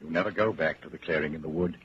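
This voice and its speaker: An actor playing a sombre, measured narrator in a 1940s radio drama, addressing the hero as you. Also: male voice, extremely low pitch, fairly bright tone. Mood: grave, hopeless. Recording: muffled, noisy 1940s radio broadcast recording.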